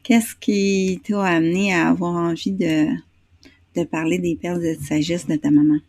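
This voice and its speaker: A woman with a Québécois French accent hosting a casual interview, chatty and a little hesitant, pausing on fillers. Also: on the low side, flat delivery, darkish tone, dry recording. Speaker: interview host.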